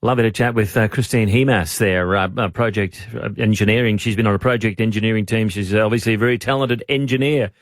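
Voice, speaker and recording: A man's voice: lowish voice, steady tone, quick pace, hardly any pauses, no room sound. Australian man hosting radio, dry close sound. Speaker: radio host, interviewer. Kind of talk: casual radio interview.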